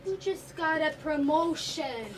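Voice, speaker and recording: Young woman acting an exasperated, high-voiced housewife. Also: animated, unhurried. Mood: pleading, frustrated. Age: teenager or young adult student. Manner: indignant, sarcastic, incredulous. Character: exasperated, put-upon young housewife standing up for herself. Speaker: student stage actor. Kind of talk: live one-act stage play. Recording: distant, noisy stage recording.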